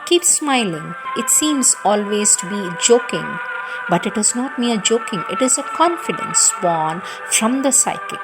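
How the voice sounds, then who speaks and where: Clear background music plays under a woman's mid-pitched voice as she reads spiritual writings aloud. Her delivery is deliberate and reverent, a scripted recitation of devotional teachings. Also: big pitch swings, low-quality recording. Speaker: reader of spiritual texts.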